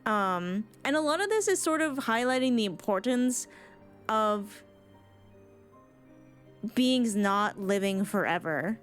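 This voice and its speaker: A woman's voice: mid-range voice, animated, takes it slowly, long pauses, fairly bright tone, clear, resonant tone, faint music behind. A woman streamer commenting casually and fondly on a game, swearing now and then. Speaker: streamer reacting to game cutscenes.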